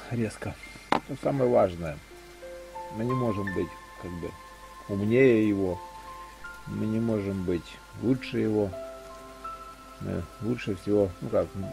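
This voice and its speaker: A man's voice: lowish voice, gravelly, poor audio. A man in a casual voice chat about faith, rambling and searching for words as he talks. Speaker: chat participant. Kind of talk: casual religious discussion. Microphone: walkie-talkie voice chat app.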